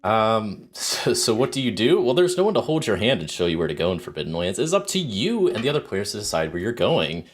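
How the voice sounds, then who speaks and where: A man with a very deep, low voice, croaky from a sore throat that is starting to take his voice. He talks in loose, rambling livestream chat, full of ums and restarts as he greets viewers and explains rules. Soft music plays faintly behind him. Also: big pitch swings, brisk, few pauses. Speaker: solo RPG streamer.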